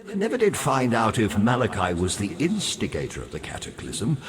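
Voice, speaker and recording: A man's voice: lowish voice, wildly swooping pitch, fairly quick. An actor playing a grandiose, roguish undead sea captain in a video game, florid and pompous, wry and morbidly cheerful as he savors his words. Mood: reminiscing, disdainful. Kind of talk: theatrical video game character dialogue.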